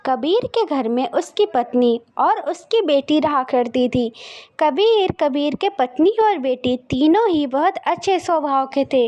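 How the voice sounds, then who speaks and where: High-voiced woman storyteller. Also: darkish tone. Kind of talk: scripted narration of a moral story.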